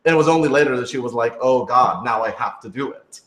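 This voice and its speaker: A man in an informal live Q&A, talking conversationally and hedging as he goes. His mid-pitched voice sits in a roomy, echoey space. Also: quick pace, fairly bright tone. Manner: conversational, hedging with lots of "like" and "sort of". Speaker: radio drama creator and director answering listener questions. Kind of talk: casual live Q&A chat. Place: indoor room with other people. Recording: roomy, echoey room sound.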